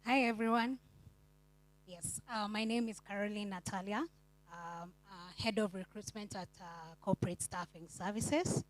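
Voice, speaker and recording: A woman's voice: medium pitch, steady tone, a little slow, low-quality recording. Woman recruiter with hesitant fillers. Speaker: head of recruitment and trainer. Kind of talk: panel self-introduction.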